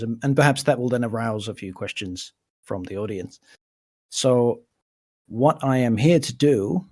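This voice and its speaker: A man's voice: medium pitch, darkish tone, no echo, studio quality. A middle-aged man with a cold and a nasal voice, explaining his legal work on a community call in a thoughtful, hesitant way. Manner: thoughtful, hesitant with frequent fillers and restarts. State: sick with a cold. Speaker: lawyer for a crypto organization.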